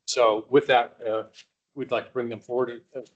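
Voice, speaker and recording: A man's voice: mid-range voice, flat delivery, moderate pace, lo-fi sound. A man who is an agency director, presenting items at a board meeting with hesitant, restarting speech. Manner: hesitant, many fillers and restarts.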